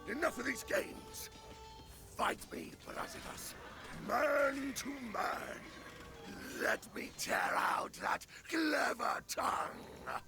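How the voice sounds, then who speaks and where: An actor playing a brash, menacing Greek commander in a video game, with a mocking, theatrical delivery. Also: male voice, very high-pitched, wildly swooping pitch, takes it slowly, creaky, music in the background, exaggerated voice. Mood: taunting, menacing. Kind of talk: dramatic game voice acting.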